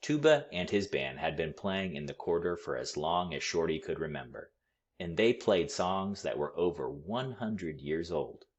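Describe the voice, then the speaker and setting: A man reading a children's story aloud with expressive, deliberate, dramatic phrasing. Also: deep voice. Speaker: storybook read-aloud reader.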